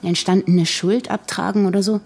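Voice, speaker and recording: Husky, mellow, pragmatic young mother. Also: medium pitch, steady tone, brisk. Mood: sceptical, puzzled. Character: tired, pragmatic young mother, reassuring yet probing friend. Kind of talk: naturalistic German audio drama acting.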